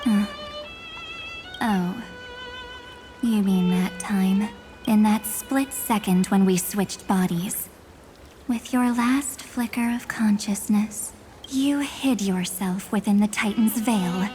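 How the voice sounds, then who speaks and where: A woman voices a haughty, mysterious villainess in a video game. Her mid-pitched speech is slow and composed, with mocking condescension, over a music bed. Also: dark tone, close to an everyday voice. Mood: mocking, intrigued. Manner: languid, condescending, amused. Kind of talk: video game character acting.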